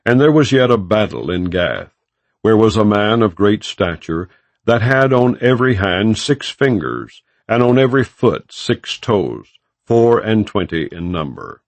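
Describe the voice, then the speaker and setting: A man reading scripture as an audiobook narrator, in a measured, solemn and formal delivery. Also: fairly low pitch, sing-song, vocal fry, no room sound.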